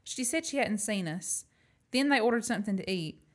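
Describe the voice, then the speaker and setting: A woman's voice: medium pitch, expressive, brisk, lightly acted. A woman acting a plucky, frank young woman in an amateur radio play. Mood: calm, matter-of-fact. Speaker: student actor.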